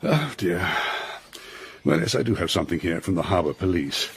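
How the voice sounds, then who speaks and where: An actor playing a genteel, cautious older colonial governor in a radio drama, with a British accent and an urbane, fussy manner. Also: male voice, low voice, very animated, normal speed, slightly rough, heavily acted. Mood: urbane, relieved.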